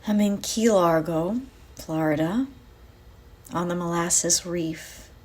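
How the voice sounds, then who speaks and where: A woman leading a guided visualization in a soft, breathy, soothing voice, leaving long pauses between phrases. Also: lowish voice. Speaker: guided meditation host. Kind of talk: guided visualization meditation, first-person.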